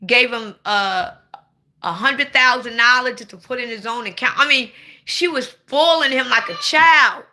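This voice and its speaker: Woman, 40s, very bright sharp tone, blunt, playful, loud bursts. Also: sits high. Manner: blunt, playful, swings to sudden loud outbursts. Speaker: livestream host. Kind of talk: casual livestream chat.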